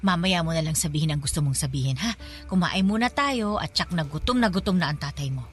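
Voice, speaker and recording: A woman's voice: low-pitched, lively intonation, quick pace, husky, faint music behind. A woman playing a loving, insistent middle-aged mother with a Filipino accent, coaxing her child in a radio drama. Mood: coaxing, tender.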